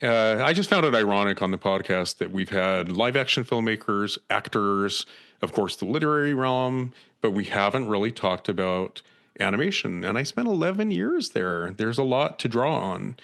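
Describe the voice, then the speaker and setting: Man, middle-aged, podcast host, hoarse edge, very expressive pitch, playful. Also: moderate pace. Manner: animated, joking, tongue-in-cheek. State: losing his voice. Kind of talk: casual conversation.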